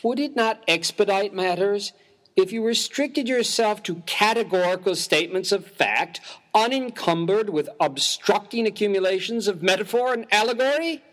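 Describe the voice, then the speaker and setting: A man's voice: sits high, moderate pace, fairly bright tone. A man playing a prim, bookish, easily bewildered clergyman in a dramatized comic story, speaking formally and with pompous precision. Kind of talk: dramatized reading of a humorous story.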